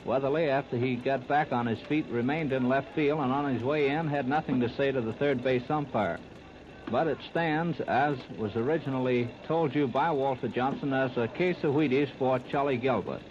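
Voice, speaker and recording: A man's voice: medium pitch, moderate pace. An American man giving flowing, detailed baseball commentary in a 1930s radio style. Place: outdoor ballpark broadcast. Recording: noisy, muffled 1939 radio disc recording.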